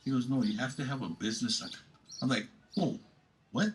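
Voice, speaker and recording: A man's voice: medium pitch, fairly quick, background noise. A man with a Hawaiian accent telling a short anecdote as a bank customer in an ad, in a laid-back storytelling way. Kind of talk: storytelling testimonial.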